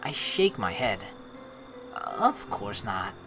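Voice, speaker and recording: A woman's voice: rumbling low, very animated, slow pace, a bit bright. A woman hosting a game playthrough, reading text aloud and commenting in a rambling, casually sweary way. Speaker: let's play host. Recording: game music behind the voice.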